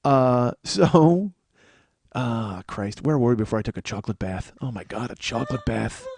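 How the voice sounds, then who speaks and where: A man hosting a podcast, riffing in a profane, excitable comedic monologue into a studio microphone. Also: medium pitch, normal speed, creaky, no echo. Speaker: podcast host.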